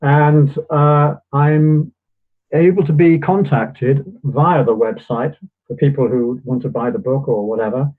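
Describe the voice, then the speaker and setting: A man who is a guest author, explaining things in a measured way and getting hoarse. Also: mid-range voice, steady tone. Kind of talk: casual interview chat. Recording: tinny phone line.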